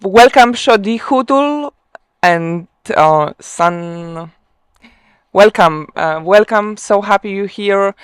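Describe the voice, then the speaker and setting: A woman from Poland with a Polish accent, hosting a live online interview and speaking hesitantly with many pauses. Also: mid-range voice, clear, resonant tone, no room sound, studio quality. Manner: hesitant, many ums and restarts. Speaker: host.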